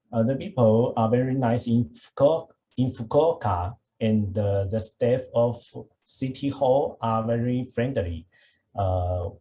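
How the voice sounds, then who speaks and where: A panelist giving measured advice, with frequent "uh" pauses. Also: mid-range voice, warm tone, a little hiss or hum, rough recording. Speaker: startup visa panelist.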